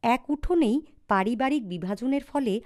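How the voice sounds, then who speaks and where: A woman's voice: fairly high pitch, expressive, normal speed, darkish tone. A woman with a West Bengal accent reading scripted documentary narration.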